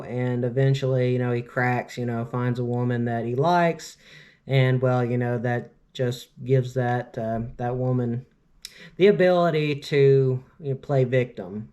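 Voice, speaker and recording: A man's voice: medium pitch, darkish tone. A man in his late twenties from Cleveland, telling a casual storytime vlog in a rambling, earnest way, with constant filler words. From Cleveland, Ohio, US. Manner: earnest, opinionated. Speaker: vlogger.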